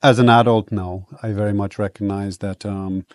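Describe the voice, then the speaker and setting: Podcast guest: low-pitched South African man, Afrikaans first language, unhurried and reflective, lots of ums, dry close mic. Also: dry recording. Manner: relaxed, reflective, with frequent ums and restarts. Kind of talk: casual interview storytelling.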